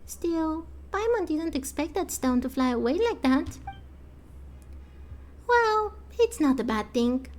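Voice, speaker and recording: A woman plays a little fairy game companion with a high voice. She is childish, blunt and nosy, and takes her time over her words. Soft music sits behind her. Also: very animated, slow, exaggerated voice. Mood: musing. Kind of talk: fan voice-over of video game dialogue.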